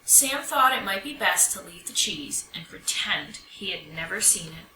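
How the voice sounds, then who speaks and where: Female storybook reader, very bright and lively, roomy distant recording. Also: on the low side, normal speed. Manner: expressive, animated storytelling delivery. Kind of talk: children's picture book read-aloud. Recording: roomy echo, distant mic, faint noise.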